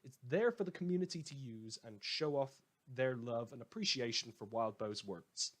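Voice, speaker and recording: A man's voice: medium pitch, moderate pace, a bit bright. A man with a mid-range voice reads out credits for a fan audio production. His delivery is an informal announcement from the show's own creator.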